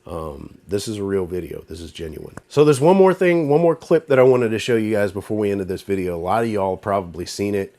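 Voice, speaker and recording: A man's voice: fairly low pitch, lively intonation, brisk, hardly any pauses, dark tone. A man with a stuffy, congested voice, casually rambling through commentary as a channel host. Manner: rambling, conversational, with fillers and restarts. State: congested, nasal from a cold. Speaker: YouTube host. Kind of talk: casual talking-to-camera commentary.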